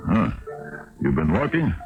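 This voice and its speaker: An actor playing a gruff, sly, down-and-out Russian drifter in a radio drama, grumbling in a hesitant drawl. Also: male voice, deep voice, sing-song, measured pace. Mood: grumbling, resigned. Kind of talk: old-time radio drama acting. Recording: dull 1970s radio recording with music.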